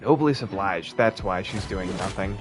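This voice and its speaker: A man's voice: deep voice, normal speed. A man streaming a video game, giving casual, blunt commentary and swearing now and then. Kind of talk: gameplay commentary. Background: game music.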